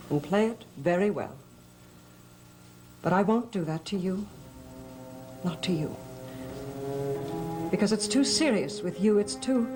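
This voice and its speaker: Slow, theatrical soap opera actress over music played by a woman. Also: mid-range voice, close to an everyday voice. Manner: theatrical, halting, confessional. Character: mysterious actress torn by guilt over a wealthy suitor. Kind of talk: 1970s gothic soap opera acting.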